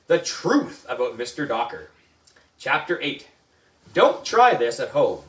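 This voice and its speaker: A man reads a children's story with big swings in pitch and theatrical emphasis. He takes his time over the words, and he sounds set back from the mic in an echoey room. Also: sing-song, measured pace, fairly bright tone, distant mic. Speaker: book reader for a children's book club. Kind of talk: animated children's story reading.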